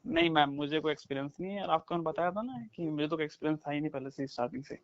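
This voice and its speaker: Fast-talking man on a call. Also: a little high, dry recording. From Rajasthan, India. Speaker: job-seeking caller. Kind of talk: casual phone conversation. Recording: dull recorded phone call.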